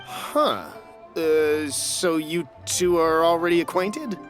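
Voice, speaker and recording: An actor playing a wary, fawning merchant buyer who speaks hesitantly and nervously, in a video game. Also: male voice, a little high, slow pace, fairly bright tone, soft background music. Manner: hesitant, obsequious and a bit nervous.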